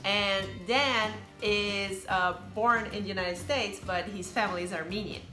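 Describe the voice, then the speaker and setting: Brazilian woman chatting on her vlog, bright voice, echoey room, faint music behind. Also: medium pitch, steady tone, measured pace. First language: Brazilian Portuguese. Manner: chatty, explaining with fillers. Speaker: vlogger. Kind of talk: casual chat.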